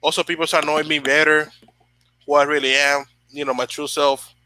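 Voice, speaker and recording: Bright-voiced man rambling to live chat. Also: fairly high pitch, flat delivery, a little fast, poor audio. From Dominican Republic. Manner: rambling, many fillers and restarts, reads live chat. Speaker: channel host. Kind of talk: casual motivational livestream talk.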